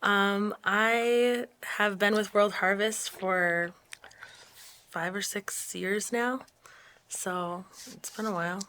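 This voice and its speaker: A woman with a Minnesota accent and a quiet, soft-spoken manner, introducing herself as a podcast guest. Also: mid-range voice, steady tone, slow pace, slight background noise. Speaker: ministry worker, podcast guest.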